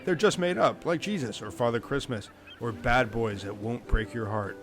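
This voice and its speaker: Man as a cynical, mid-pitched castaway. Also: normal speed, lightly acted. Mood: dismissive. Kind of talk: comedy audio sketch. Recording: music behind, blown-out homemade audio.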